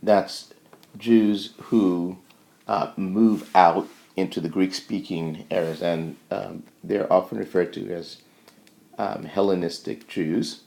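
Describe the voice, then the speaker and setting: A man lecturing informally in a halting, think-aloud way, often checking in with short tags as he explains. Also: low voice, fairly even intonation, creaky, darkish tone.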